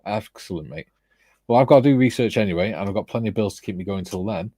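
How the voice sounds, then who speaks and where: Host: lowish male voice, dry close sound, jokey, reads chat comments aloud. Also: quick pace, dark tone, slightly rough, no echo. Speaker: live stream host reading chat. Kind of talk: casual chat while model building. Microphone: phone.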